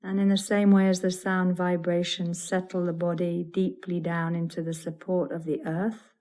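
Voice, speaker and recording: A woman leading a guided yoga nidra meditation as a teacher, soothing and deliberate, in measured phrases broken by pauses. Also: lowish voice, little pitch movement, dark tone.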